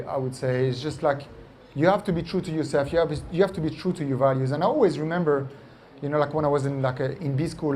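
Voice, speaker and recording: A man from France with a French accent, a company founder answering interview questions in an animated way, often tagging "right?" and "like". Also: on the high side, a little hiss or hum.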